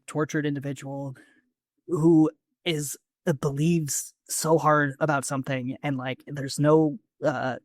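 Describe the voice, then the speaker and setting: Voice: medium pitch, dry recording, clean studio sound. A podcast co-host chatting casually and enthusiastically, with plenty of slang and swearing.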